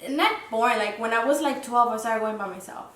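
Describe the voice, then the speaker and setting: A woman's voice: a little high, fairly quick, fairly bright tone. A young woman in her twenties from the Dominican Republic, a podcast guest speaking hesitantly and reflectively with many fillers. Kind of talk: casual interview chat. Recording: roomy echo, mic at a distance, faint noise.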